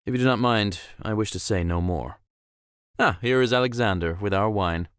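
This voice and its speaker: A man's voice: lowish voice, moderate pace, clean tone, no echo, clean studio sound, close to an everyday voice. A man voicing a suave, self-assured young billionaire in a visual novel, with formal, polished and theatrical phrasing. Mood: composed, formal. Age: young adult. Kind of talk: visual novel character acting.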